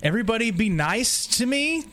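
A man's voice: extremely high pitch, sing-song, fairly quick, a bit bright. A tired man co-hosting a podcast in casual, self-deprecating conversation. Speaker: podcast co-host. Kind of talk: casual chat.

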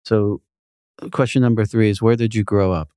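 A man hosting a question-and-answer interview, with a very low voice. He reads out numbered questions and fills the gaps with short affirming words. The sound is dry and close. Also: fairly even intonation, moderate pace, mellow, clear, resonant tone, no echo. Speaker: podcast host.

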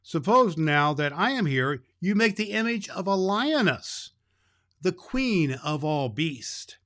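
Male reader as aging lioness, queenly, haughty, deliberate, children's storybook, dry sound. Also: high-pitched, sing-song, fairly bright tone, no room sound, pitched above the performer's own voice, heavily acted. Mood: haughty, persuasive. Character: proud aging lioness, queen of beasts, loyal friend.

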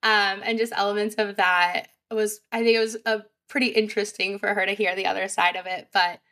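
A woman guest on a podcast, high energy and chatty, with a fairly high voice and lots of fillers. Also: fairly even intonation, brisk, bright, forceful tone. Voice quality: higher pitched voice. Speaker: sales representative guest. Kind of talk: casual podcast interview.

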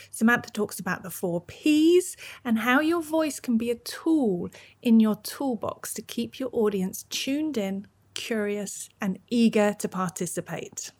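A woman podcast host presenting introductions and interviewing in a clear, measured way. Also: a little high.

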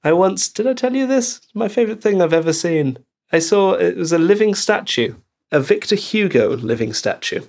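Animated man telling anecdotes. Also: high voice, moderate pace, vocal fry. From Belgium. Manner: animated storyteller, laughs easily. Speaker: actor guest. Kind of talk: casual interview chat, anecdotes.

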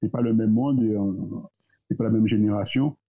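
A man, talking as a guest in a casual discussion, thoughtful and hesitant, pausing often. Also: fairly low pitch, fairly even intonation. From Guadeloupe. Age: 30s. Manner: thoughtful, hesitant, with many pauses and fillers. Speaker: guest, entrepreneur. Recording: tinny phone-like line.

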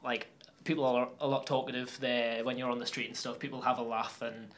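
A young Geordie man talks in a loose, rambling way, full of fillers and restarts. His pitch sits in the middle but barely moves, so it comes out fairly monotone. He is a guest in a relaxed interview. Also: a little fast. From Newcastle upon Tyne, England. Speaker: guest athlete. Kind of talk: casual interview chat.

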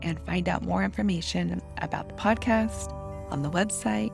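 A woman reads a scripted podcast outro in a low, gravelly voice. She moves through the credits at a measured pace. A clear music bed plays under her. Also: darkish tone. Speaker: podcast host.

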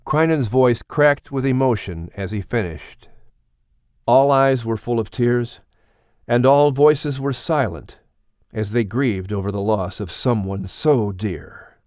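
Slow-paced male audiobook narrator. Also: mid-range voice, darkish tone, clean tone, no echo, clean studio sound. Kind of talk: scripted story narration.

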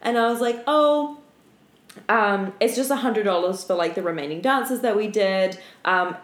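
A woman chatting casually as a podcast co-host, telling stories in an animated, slangy way and often laughing. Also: medium pitch, normal speed. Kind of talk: casual chat, storytelling. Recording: roomy, echoey room.

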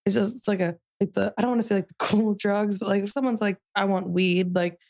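Young woman, vocal fry, gravelly tone, rambling casual podcast guest. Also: mid-range voice, steady tone, fast talker, darkish tone. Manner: rambling, heavy on fillers. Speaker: podcast guest, event production worker. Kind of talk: casual chat.

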